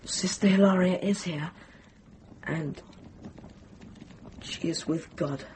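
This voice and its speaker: A performer in a radio drama playing an earnest, frightened young boy, gentle and pleading. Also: mid-range voice, slow pace, slight background noise, close to an everyday voice, low-quality recording. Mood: gentle, coaxing.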